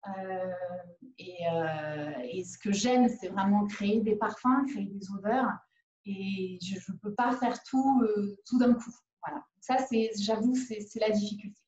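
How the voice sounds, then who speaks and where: A woman in her forties, an independent perfumer, talking on a video call from home, halting with many fillers and restarts. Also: mid-range voice, darkish tone. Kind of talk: conference video call interview. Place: living room at home. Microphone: laptop webcam on a video call. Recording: roomy, dull video-call audio.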